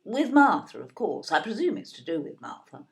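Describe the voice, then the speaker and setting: An actress playing a genteel, fussy older English grandmother, with a polite, gushing, brisk manner in an audio play. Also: mid-range voice, very animated. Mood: polite, chatty.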